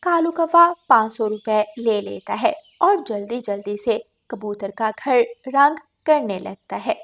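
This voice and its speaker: A woman's voice: on the high side, a little slow, fairly bright tone. A woman narrating a scripted moral story for children.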